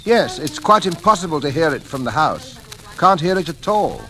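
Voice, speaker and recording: A man's voice: on the high side, big pitch swings, normal speed, pushed and bright, soft background music. An actor playing a genial middle-aged father and host with a British accent, fussy and placating, in 1940s radio drama. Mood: fussy, pleased. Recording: noisy old-time radio recording.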